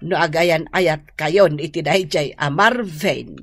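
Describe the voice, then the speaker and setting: A woman playing a doting middle-aged mother in a radio drama, affectionate and gently teasing. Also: sits low, slow, no echo, full character voice. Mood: pleased, motherly.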